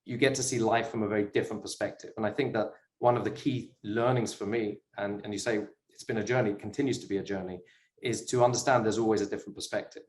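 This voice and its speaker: A man's voice: on the low side, fairly even intonation, fast talker. Chatty middle-aged British man, echoey room. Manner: self-deprecating, chatty, with frequent fillers. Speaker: guest, sports investor. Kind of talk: casual interview talk.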